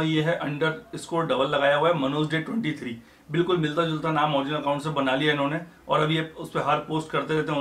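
A man's voice: fairly high pitch, fairly bright tone. A man in his thirties, a YouTuber talking straight to camera in an earnest, explanatory way, calling his viewers friends. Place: indoor room with sofa and cushions.

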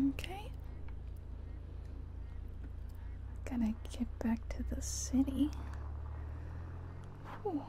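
Casting: a woman as a friendly female cab driver for ASMR roleplay, high but very mellow, breathy near-whisper, faint ambient noise behind. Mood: tired muttering. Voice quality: soft, breathy, often whispered. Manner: gentle, hesitant, murmuring to herself and passenger. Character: friendly, chatty, sleepy-soft female city taxi driver. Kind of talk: soft-spoken ASMR roleplay. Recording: soft ambient car and rain noise.

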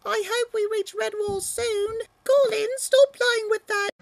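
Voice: very high-pitched, fairly even intonation, measured pace, vocal fry, voice pushed up, exaggerated voice. A performer voicing a fretful, chiding mother mouse in a parody cartoon dub.

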